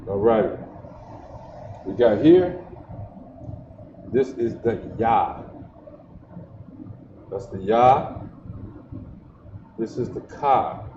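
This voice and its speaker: A man's voice: fairly low pitch, animated, very slow, pauses often, warm tone. A man teaching letter sounds, reciting syllables one by one and often stopping to check whether his listeners follow. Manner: repeats syllables and keeps checking "you see that?". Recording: echoey, dull, noisy livestream audio.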